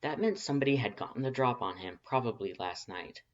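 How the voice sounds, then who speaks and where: Story narrator, scripted reading, medium-low pitch, dull lo-fi recording. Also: lively intonation, fairly quick. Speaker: fan fiction reader.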